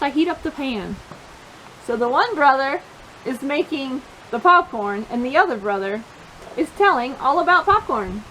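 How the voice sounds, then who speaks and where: A woman reading a picture book aloud to children as a storytime reader, expressive and emphatic, drawing out key words and adding little asides to her young listeners. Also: sits high, a little hiss or hum, low-quality recording.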